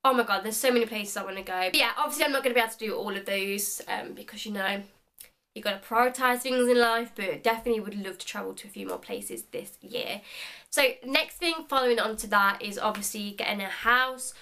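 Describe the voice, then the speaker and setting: A woman's voice: mid-range voice, fairly quick, sharp tone. A woman in her twenties chatting casually in a vlog, upbeat and full of "like" fillers. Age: 20s. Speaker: beauty vlogger.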